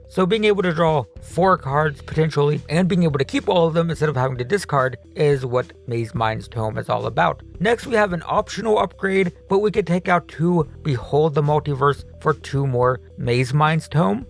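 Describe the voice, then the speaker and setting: A man's voice: on the high side, creaky. A man with a voice he says he is losing, casually explaining deck upgrades in a chatty voice-over that often repeats itself. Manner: chatty, hedging, repeats himself. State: losing his voice. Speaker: card game deck upgrade guide host.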